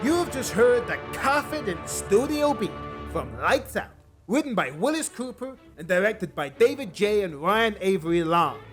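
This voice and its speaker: Guy announcer reading radio play credits, high voice w lots of up and down, music under it. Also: big pitch swings, normal speed.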